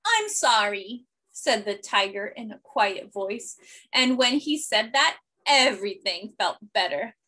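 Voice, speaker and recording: A woman in her thirties reading a children's picture book aloud expressively, with deliberate, simple phrasing and emphasis. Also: mid-range voice, big pitch swings, pushed and bright. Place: small indoor room.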